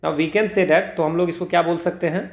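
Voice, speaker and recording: A man's voice: fairly high pitch, fairly even intonation, moderate pace, gravelly. A man with an Indian accent giving an informal maths lesson, working step by step, asking himself questions and mixing two languages. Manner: code-switches between two languages, asks himself questions, frequent check-words. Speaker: maths teacher for exam preparation. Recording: roomy, echoing sound.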